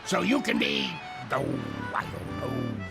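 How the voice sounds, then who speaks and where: A man voicing a capricious, melodramatic ghostly pirate captain in a video game, gravelly and theatrical, with game music over the voice. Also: mid-range voice, sing-song, takes it slowly, exaggerated voice. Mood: offhand, dismissive.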